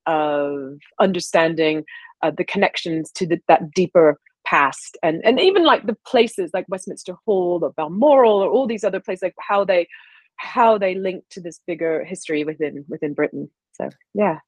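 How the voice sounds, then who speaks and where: A woman from the American South answers interview questions in a loose, thoughtful way. Her voice sits a little low, and she pauses and restarts often. The sound is close and dry. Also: no room sound. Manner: thoughtful, many fillers and restarts. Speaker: guest historian. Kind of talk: casual interview conversation.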